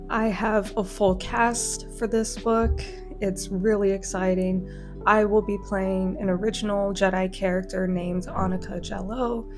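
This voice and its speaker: A woman with a slightly hoarse voice from a cold, making an earnest, heartfelt announcement to her channel's followers. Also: on the low side. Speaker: channel host and fan fiction author. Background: plucked guitar music.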